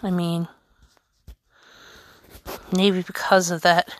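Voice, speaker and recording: A woman hosting a wrestling fan podcast, chatting casually in a halting way with lots of fillers. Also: fairly low pitch, little pitch movement, no echo. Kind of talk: casual chat reading news and tweets.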